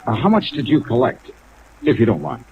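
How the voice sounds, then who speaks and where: A man's voice: medium pitch, huge pitch swings, brisk, breathy edge, heavily acted. A man playing a cool, wry insurance investigator in a 1950s radio detective drama, with clipped, matter-of-fact delivery and dry questioning, in an old recording. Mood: dry, pointed. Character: male insurance investigator, cool, probing, wry, hard-boiled. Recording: muffled old radio recording under rain sounds.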